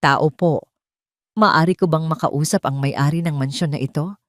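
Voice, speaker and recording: A woman's voice: low-pitched, normal speed, dry recording, studio quality, close to an everyday voice. A woman voicing a desperate ruined husband in an audio story, imploring and humbled. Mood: pleading. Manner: pleading, imploring. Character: desperate ruined proud husband, pleading and stunned.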